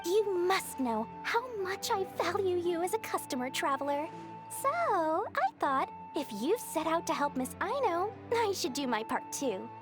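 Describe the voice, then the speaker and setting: Greedy, smooth-talking game merchant; very high, lively, over game music. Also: female voice, a little slow, full character voice. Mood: scheming. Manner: salesy, wheedling, sly. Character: scheming greedy woman merchant, smooth talker. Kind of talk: video game voice acting.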